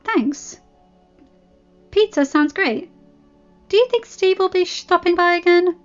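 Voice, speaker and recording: Woman playing an eager, high-voiced teenage boy. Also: takes it slowly, dull audio. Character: excitable, grateful, playful teenage boy intern. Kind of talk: fan fiction audiobook character voice. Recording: band-limited audio with background music.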